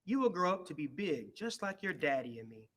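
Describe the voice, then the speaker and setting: A kind mother hippo from a children's storybook, read by a man. The delivery is gentle and reassuring, in a slightly high register, praising her little one. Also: sing-song, fairly quick.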